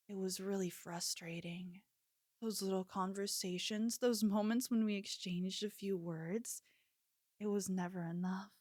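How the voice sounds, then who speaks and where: A woman's voice: mid-range voice, dry recording, clean studio sound, natural voice. A woman playing an obsessive, possessive convenience store cashier in an ASMR roleplay, pleading and unsettlingly sweet. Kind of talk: ASMR roleplay, scripted character acting.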